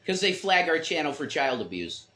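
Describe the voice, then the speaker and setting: A man's voice: on the high side, normal speed. A man co-hosting a casual livestream, bantering emphatically with frequent swearing. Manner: emphatic, profane, lively banter. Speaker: streamer co-host.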